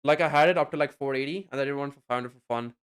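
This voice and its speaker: A young man talks to his stream chat in a loose, rambling way, leaning on fillers and tossing in swear words. His voice is smooth and clear, at a middle pitch. He sounds like a casual streamer speaking into a desk mic at home. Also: rapid-fire, a bit bright. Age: young adult.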